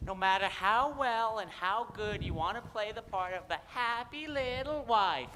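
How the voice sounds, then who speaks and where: A man's voice: sits high, animated, moderate pace, sharp tone, big-room sound, slight background noise, poor audio. A man playing a spiteful, scornful rival who drags his words out with a sneer.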